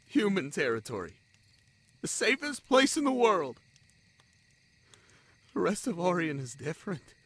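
A man playing an anguished, self-sacrificing young spider-folk lover in a romantic audio drama, halting and pleading in manner. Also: sits high, very animated, husky, exaggerated voice. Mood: agitated, heated.

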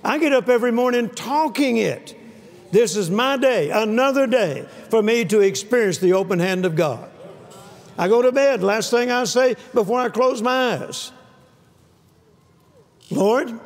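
Older male preacher, high gravelly voice, emphatic and repetitive, lapel mic in a reverberant church hall. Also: lively intonation, a little slow, pauses often. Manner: emphatic, repeating key phrases, calls for amens. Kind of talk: sermon. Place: large church hall with a stage and live audience.

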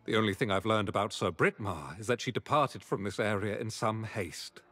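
Solemn, husky-voiced holy knight. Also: male voice, lowish voice, moderate pace, sharp tone, no room sound, soft background music. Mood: grave, earnest. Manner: formal, solemn, archaic phrasing. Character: solemn, scholarly male holy knight ally. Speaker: voice actor of a game character. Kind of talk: scripted fantasy game dialogue.

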